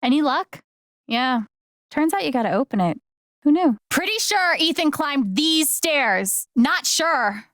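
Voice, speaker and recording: A woman's voice: high voice, expressive, a little slow, a bit bright, dry recording, clean studio sound. A woman voice acting an earnest, caring young heroine in a video game, with casual, hesitant, naturalistic delivery. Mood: curious. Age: young adult. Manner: casual, hesitant, conversational phrasing.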